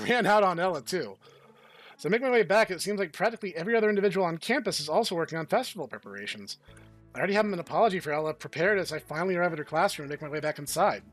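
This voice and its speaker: A man's voice: high-pitched, fairly bright tone, dry recording. Wry young man reading a game aloud. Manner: wry, joking asides. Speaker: let's play host. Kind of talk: reading a visual novel aloud.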